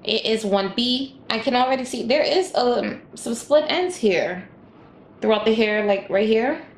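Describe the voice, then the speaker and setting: A woman with a raspy voice, chatting casually to her viewers as she reviews hair and walks through a tutorial. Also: fairly high pitch, a little slow. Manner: chatty, addresses viewers directly. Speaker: hair vlogger.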